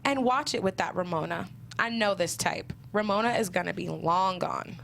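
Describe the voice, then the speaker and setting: A woman's voice: lowish voice, normal speed, gravelly, fairly bright tone, a little hiss or hum. A woman playing a blunt, motherly, wisecracking motel keeper in a crime comedy table read, folksy and teasing. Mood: motherly, warning.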